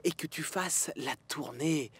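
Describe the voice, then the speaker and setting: A woman voicing a cautious, kindly small male train engine with a husky, hesitant voice in a French cartoon dub. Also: sits low, a little slow, heavily acted. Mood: cautioning.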